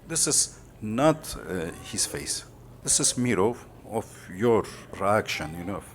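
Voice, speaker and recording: A man from Turkey explains his artwork in halting, broken English, stopping often between words. His voice sits low and moves very slowly. The echoey gallery hall rings around him. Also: very animated, a little hiss or hum. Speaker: artist. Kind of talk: interview about his artwork. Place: large gallery hall indoors.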